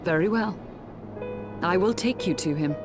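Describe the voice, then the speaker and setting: Fantasy game priestess, played by a woman: proud, stern, low-pitched, formal, music behind. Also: moderate pace, natural voice. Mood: solemn. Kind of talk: video game voice acting.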